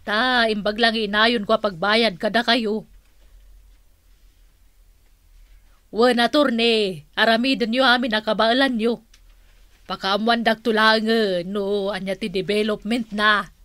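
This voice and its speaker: A woman's voice: fairly high pitch, steady tone, unhurried, a bit bright, no echo. A woman playing a playful, theatrical auntie in a comic radio drama. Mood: businesslike.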